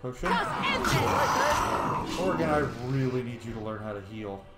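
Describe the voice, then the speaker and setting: Casting: male let's play host, informal and exclamatory, recorded in a very echoey room over loud game music. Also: mid-range voice, animated, normal speed. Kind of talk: casual gameplay commentary.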